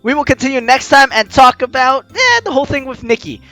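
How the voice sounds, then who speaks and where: Bright, high-pitched, animated male gamer. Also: fairly quick, dry recording. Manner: expressive, acts out lines as he reads. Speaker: let's play host. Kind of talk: gaming commentary, reading game text aloud.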